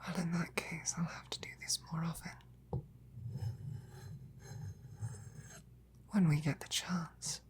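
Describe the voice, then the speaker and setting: A woman playing a devoted, tenderly teasing royal knight in an intimate roleplay audio, with breathy, often whispered, courtly speech. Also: lowish voice, flat delivery, natural voice. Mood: playful, pleased. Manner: slow, tender, courtly phrasing.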